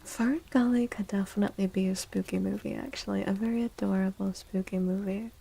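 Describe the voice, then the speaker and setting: Female ASMR livestream host. Husky, breathy, often whispered, with a soft warm tone and gentle manner. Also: mid-range voice, fairly even intonation. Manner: gentle, unhurried. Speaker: livestream host and tarot reader.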